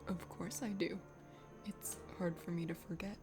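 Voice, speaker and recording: A woman voicing a shy, gentle young horned man in a fantasy dating game, softly and in a hushed, bashful way. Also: on the low side, fairly even intonation, moderate pace, voice pushed down, lightly acted. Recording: music behind.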